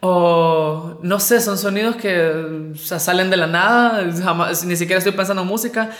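A man's voice: sits high, moderate pace, fairly bright tone. A man with a Honduran accent speaks in a casual, reflective interview style. His voice is recorded in a roomy space with noticeable echo. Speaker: interviewee, countertenor singer. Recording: echoey room interview.

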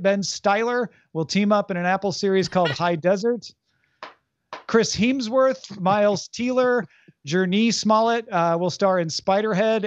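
A man hosting a podcast, reading out entertainment news in a brisk, self-deprecating way. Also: sits high, no echo. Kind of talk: casual chat, reading news notes. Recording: dull lo-fi dry sound.

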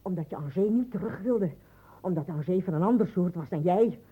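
A woman's voice: fairly low pitch, animated, brisk, husky. An actress playing a bitter, reproachful woman who needles insistently in an old radio play. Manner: insistent, accusing, repetitive. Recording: thin, phone-like old radio play recording.

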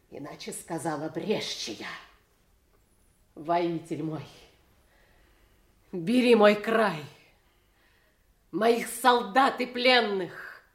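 A woman's voice: mid-range voice, reverberant. An actress playing a proud, passionate noblewoman in a classical audio drama, imperious and dramatic. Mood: weak, fervent. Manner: deliberate, dramatic.

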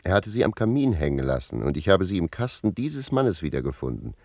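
An actor playing a cheeky, self-assured manservant in a German radio play, insistent and animated in his delivery. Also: low voice, brisk, vocal fry, no echo, heavily acted. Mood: matter-of-fact.

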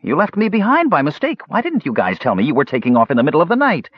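A man's voice: on the high side, wildly swooping pitch, quick pace, no room sound, dull audio, voice pushed up. A man voicing a small, cheerful, talkative kender who rambles eagerly, as an audiobook character. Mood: eager chattering. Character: small cheerful chattering kender, pesky and indignant.

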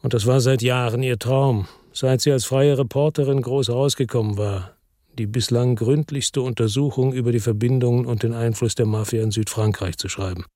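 A man's voice: medium pitch, steady tone, normal speed, mellow, no room sound, studio quality, close to an everyday voice. An actor playing a middle-aged, weary and bitter ex-policeman, narrating tersely in a radio drama. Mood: sombre. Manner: terse, sombre, matter-of-fact. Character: weary, bitter male ex-policeman narrating his own crime story.

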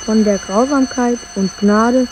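A woman's voice: fairly high pitch, steady tone, unhurried, background noise, lightly acted. An actress playing a woman who recites slogans and maxims in a declamatory radio play style.

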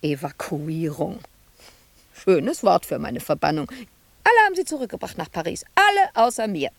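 Radio drama: a confined woman artist, reproachful and volatile, some gravel in the voice, recorded dry. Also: on the low side, sing-song, moderate pace, dry recording, heavily acted. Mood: bitter, brooding. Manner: reproachful, volatile, dropping at times to hushed murmurs. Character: bitter, wounded woman sculptor confined in an asylum.

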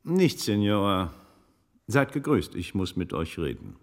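An actor playing a shrewd, composed scholarly investigator in a German radio drama, speaking deliberately. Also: male voice, on the low side, wildly swooping pitch, full character voice. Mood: grave, measured. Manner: measured, deliberate, probing questions. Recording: reverberant studio radio play sound.

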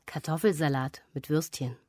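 Low-voiced, understated wife. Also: female voice, fairly even intonation, normal speed, dark tone. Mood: quiet, matter-of-fact. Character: plain, quiet wife at home in evening. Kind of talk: naturalistic German radio play acting.

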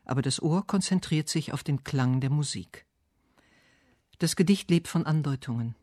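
Higher-voiced man, deliberate literary reader. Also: lively intonation, warm tone, no echo, clean studio sound. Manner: measured, deliberate phrasing. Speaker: radio essay reader. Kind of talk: scripted literary narration and poetry reading.

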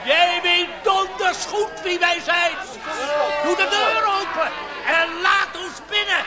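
A man playing a fiery, defiant leader of armed insurgents in a radio drama, declaiming in shouts. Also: extremely high pitch, fairly even intonation, takes it slowly. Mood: defiant, rousing. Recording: outdoor crowd noise behind.

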